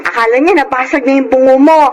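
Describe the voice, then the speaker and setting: A woman's voice: sits high, fast talker, natural voice. A young Filipino woman playing a devout, worried younger sister, earnest and pleading, in a radio drama. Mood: alarmed. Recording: clearly noisy radio drama audio.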